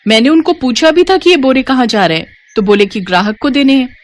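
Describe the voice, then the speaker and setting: A woman's voice: high-pitched, very animated, a little fast, voice pushed up. A woman voicing a devout, polite young working wife in a moral story. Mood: anxious. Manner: polite, earnest. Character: devout, hardworking, earnest young wife and shop worker.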